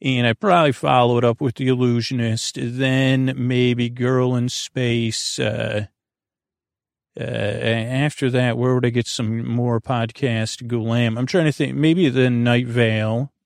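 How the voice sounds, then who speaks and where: Man, gravelly creak, droning, halting podcast monologue, stammering, dry close sound. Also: mid-range voice, fairly even intonation, dry recording. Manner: meandering, halting, frequently stammering and trailing off. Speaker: podcast host. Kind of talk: rambling sleep podcast monologue. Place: small closet under the stairs.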